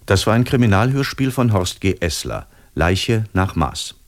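A male radio announcer with a low voice in a dry, close recording. He reads the closing credits of a radio drama as a formal scripted announcement. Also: very animated, normal speed, no room sound.

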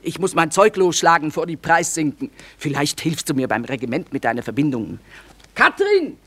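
An actress playing a shrewd, hard-bitten middle-aged trader woman in a radio play, earthy and brisk. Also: sits low, sing-song, fairly bright tone, full character voice. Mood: brisk, practical. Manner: brisk, earthy, colloquial talk. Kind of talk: German radio play acting.

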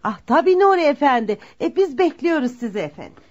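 A woman's voice: high-pitched, sing-song, a little fast. An actress playing a widowed mother who formally seeks a bride for her son in a Turkish radio play, courteous and ceremonious. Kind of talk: Turkish radio theater acting. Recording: muffled lo-fi radio drama recording.

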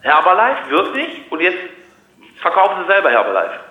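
A man's voice: sits high, wildly swooping pitch, normal speed, reverberant, highs cut off. A man arguing on a call in a blunt, confrontational, stammering way. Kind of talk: annoyed phone argument.

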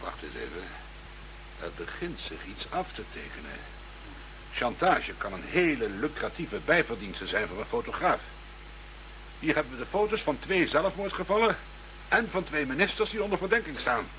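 An actor playing a brisk, wry senior police investigator in a radio play, authoritative and matter-of-fact. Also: male voice, fairly high pitch, big pitch swings, bright, forceful tone, a little hiss or hum, heavily acted, poor audio. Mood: resolute.

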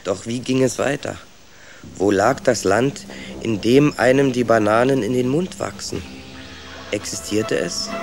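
A man playing a rat who narrates his youthful journey in a scripted children's radio play. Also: fairly low pitch. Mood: storytelling.

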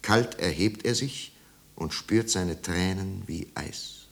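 A man with a low, gravelly voice narrates a 1950s German radio play. His literary delivery is measured and grave, with dramatic weight.

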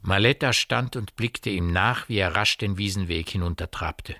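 An actor playing a detached, ominous storyteller, reading literary narration in a radio drama. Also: male voice, sits low, moderate pace, dry recording, studio quality. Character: detached, ominous male storyteller of a village tale. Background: loud music.

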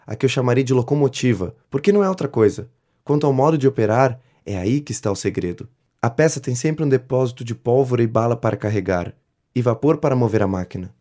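A male actor plays a grandiloquent inventor from a nineteenth-century stage comedy, made for audio. His tone is mellow and rounded at a middle pitch. He speaks with florid, effusive flattery and great self-importance, quick to theatrical indignation. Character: pompous, flattering male inventor petitioning a politician for privilege. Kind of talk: audio drama acting of a 19th-century comedy.

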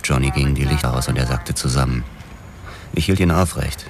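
A man's voice: very deep voice, a little fast, slight background noise. An actor playing a tough, grim cop who narrates his own story tersely in a noir radio drama. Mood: grim, matter-of-fact. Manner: terse, matter-of-fact.

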